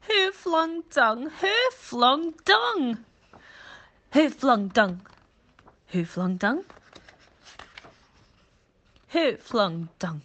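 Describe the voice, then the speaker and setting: A woman voicing an excitable, accusing male monkey who yells the same question over and over in a shrill voice. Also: slow pace, few pauses, heavily acted. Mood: indignant yelling. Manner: shrill repeated yelling.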